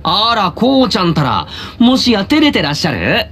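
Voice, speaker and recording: A man voicing a teasing, excitable high-school boy in an anime-style game, jokey, slangy and exaggerated. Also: moderate pace, slight background noise, full character voice.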